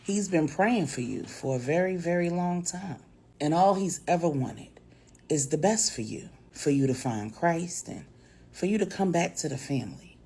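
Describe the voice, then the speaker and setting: A woman's voice: fairly low pitch, lively intonation, normal speed, warm tone, slightly rough. Serene, devout, steely woman, as a storyteller plays her. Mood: earnest, persuasive.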